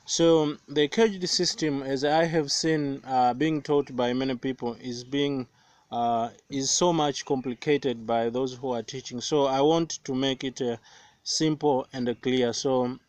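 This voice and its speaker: A young Tanzanian man whose first language is Swahili, giving a slow, careful guitar lesson outdoors with many pauses and fillers. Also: mid-range voice, a little mellow, clear, resonant tone, dry recording. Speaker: guitar teacher. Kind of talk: guitar tutorial. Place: outdoors by banana plants. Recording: faint guitar playing behind voice.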